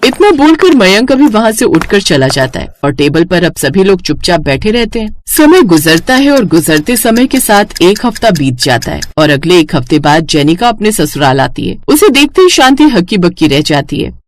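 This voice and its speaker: A woman's voice: lowish voice, very animated, normal speed, hardly any pauses. A woman narrating a scripted moral family story.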